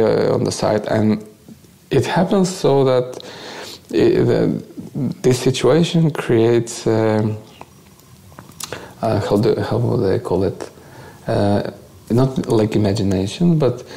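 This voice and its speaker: A man's voice: medium pitch, gravelly, warm tone. A man with a Bulgarian accent, a non-native speaker searching for words as he recounts his experiences in a conversational interview. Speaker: interview guest, volunteer activist.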